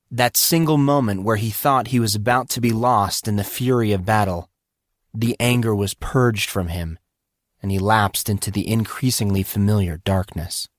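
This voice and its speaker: Slow, deliberate male audiobook narrator. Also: on the low side, fairly bright tone, no echo, clean studio sound. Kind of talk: dramatic scripted narration.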